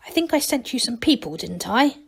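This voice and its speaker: A woman's voice: a little high, sing-song, fairly quick, creaky, husky, full character voice. A woman voicing a pompous male gnome duke in a storybook, formal and self-important. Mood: awkward.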